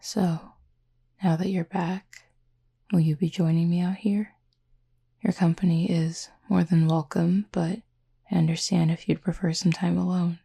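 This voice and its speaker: A woman playing a gentle, formal cupid roommate in an intimate roleplay audio, speaking softly and breathily with measured, teasing politeness. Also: on the low side, flat delivery, full character voice. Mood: tender, calm. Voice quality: soft, breathy, slightly husky. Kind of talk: intimate roleplay audio acting, addressed to listener.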